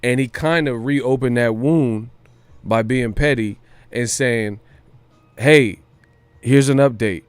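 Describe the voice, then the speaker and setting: A man's voice: mid-range voice, slow, pauses often, clear, resonant tone, no room sound, soft background music. A man hosting a boxing news livestream, talking casually in slang with some swearing and repeating phrases for emphasis. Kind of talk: casual live-stream commentary.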